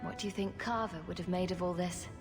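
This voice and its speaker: An actress playing a wry, protective young rogue, an older sister in a fantasy video game. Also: female voice, on the low side, steady tone, fast talker, music in the background, lightly acted. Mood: wry, concerned.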